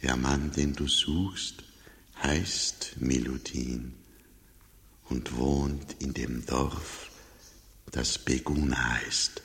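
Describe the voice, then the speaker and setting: Radio play casting: a stern dream judge, extremely low, very slow, solemn. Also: male voice, steady tone, fairly bright tone, full character voice. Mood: gentle, grave.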